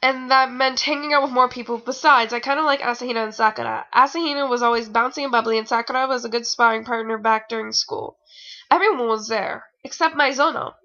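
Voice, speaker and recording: A woman's voice: on the high side, steady tone, fast talker, sharp tone, muffled recording. A young adult woman giving a mocking amateur fan fiction reading with rambling, exasperated, theatrical asides, sounding a bit tired. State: tired, sore nose.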